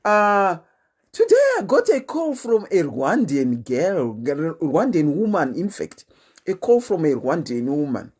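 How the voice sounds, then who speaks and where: A woman's voice: on the low side, a little slow, husky. A woman with a Zimbabwean accent, hosting her show in a chatty, casual monologue, telling listeners her news with lots of "eh" fillers. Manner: animated, chatty storytelling with frequent "eh" fillers. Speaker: host.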